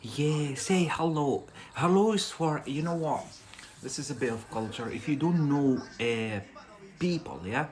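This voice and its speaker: A man's voice: fairly high pitch, unhurried, darkish tone. A young man from Algeria, whose first language is Algerian Arabic, hosts an English-learning live stream in a casual, rambling way with many fillers and frequent laughs.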